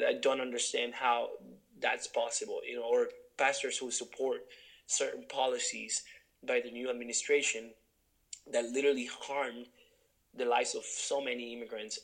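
A man's voice: medium pitch, little pitch movement, moderate pace, creaky, fairly bright tone, rough recording. Earnest young man from Honduras, guest in a reflective talk, thinks aloud with restarts. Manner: earnest, searching, many restarts and fillers. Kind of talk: reflective interview conversation.

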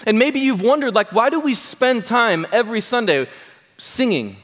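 Hoarse young pastor preaching in a hall. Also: male voice, very high voice, expressive, normal speed, a bit bright. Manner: chatty, self-deprecating, full of fillers. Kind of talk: sermon. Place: church hall. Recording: long hall reverb.

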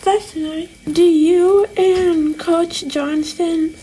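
A woman's voice: extremely high pitch, steady tone, drawn-out words, warm tone, poor audio. A young woman asking questions casually as a podcast interviewer, over faint background noise.

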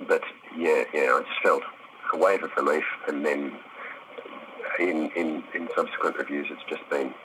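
A man's voice: extremely low pitch, fairly even intonation, normal speed, sharp tone, slight background noise, low-quality recording. A middle-aged man answering interview questions casually, thoughtful and hesitant, with some self-deprecation. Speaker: actor, interview guest.

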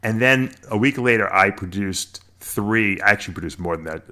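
A man's voice: lowish voice, moderate pace, bright, forceful tone. A middle-aged American man talking casually as an interview guest, explaining things in an emphatic, talkative way with repeated phrases. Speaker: podcast guest, bodybuilder and snake breeder.

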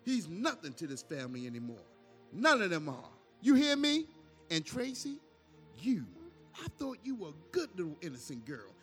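A man's voice: high voice, wildly swooping pitch, moderate pace, fairly bright tone, soft background music, exaggerated voice. An actor playing an indignant middle-aged father and megachurch pastor in an audio drama, exclaiming and scolding. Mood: angry, distressed.

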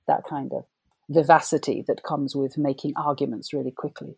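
A woman's voice: low voice, darkish tone, slightly rough, no echo. A middle-aged woman novelist from Jamaica, talking about her writing in a thoughtful, reflective interview. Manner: thoughtful, reflective, laughs as she talks. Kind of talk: conversational interview.

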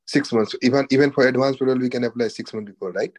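A man's voice: mid-range voice, steady tone, fast, darkish tone. A man calling into a conference call with casual questions, polite and effusive with his thanks. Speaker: caller.

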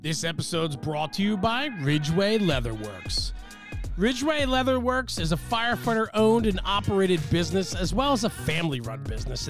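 A man with a slightly high voice reads sponsor spots in a bouncy, sing-song way. A clear music bed plays under his voice throughout. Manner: upbeat, sing-song promotional delivery. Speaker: podcast host.

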